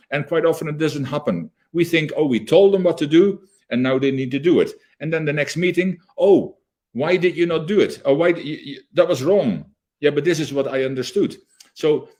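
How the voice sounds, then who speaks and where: A man's voice: mid-range voice, darkish tone. Man explaining in an interview. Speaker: leadership trainer being interviewed.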